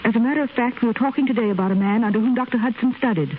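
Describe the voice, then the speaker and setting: A woman plays a calm, wry nurse in a 1930s radio play. She is matter-of-fact with a light teasing edge. The sound is tinny, phone-like and faintly hissy. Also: a little high, rapid-fire, natural voice.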